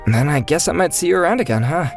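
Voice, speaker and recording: A man plays a modest young office employee telling his story in a manga dub. His voice is fairly high and lively, with an earnest, mild manner and faint music behind it. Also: fast, vocal fry. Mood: friendly. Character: modest, good-natured young male company employee, story's narrator. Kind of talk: manga dub narration and dialogue.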